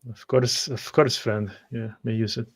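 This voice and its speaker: Male tabletop player, even monotone, mid pitch, halting descriptive narration. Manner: halting, repeats words.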